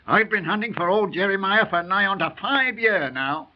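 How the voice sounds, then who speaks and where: An actor playing a surly, unlucky old sailor turned burglar, grumbling in rough colloquial speech in a 1930s radio drama. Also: male voice, high-pitched, expressive, moderate pace. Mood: grumbling, sly. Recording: muffled, band-limited 1930s radio recording.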